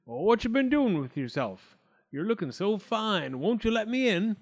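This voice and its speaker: A man's voice: sits high, very animated, measured pace, pitched above the performer's own voice, exaggerated voice. A man voicing a big hungry storybook wolf, sly and sneering, with brash, slangy swagger. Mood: sly, coaxing.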